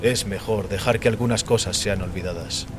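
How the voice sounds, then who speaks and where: A man voicing a calm, imperious warrior emperor in dramatized audio fiction, solemn, commanding and utterly self-assured. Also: lowish voice, moderate pace, music in the background, natural voice. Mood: calm resolve. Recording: clearly noisy, rain behind voice. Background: rain.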